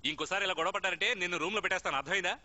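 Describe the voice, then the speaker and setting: A man's voice: high voice, very fast. A man voicing a harried, cheerful, indulgent cartoon dad, exclamatory and dropping English phrases into his talk. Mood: scolding. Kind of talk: animated cartoon dub acting. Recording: dull lo-fi audio under loud music.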